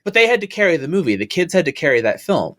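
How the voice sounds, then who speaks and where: A man on a casual online panel, loud and profane, rambling with lots of fillers. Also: fairly high pitch, very animated, fast. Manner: loud, swears freely, rambling with many fillers.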